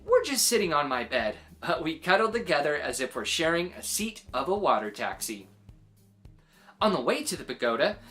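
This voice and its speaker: Female children's story reader, low register, swooping expressive delivery, music faintly present. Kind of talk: animated read-aloud narration for children.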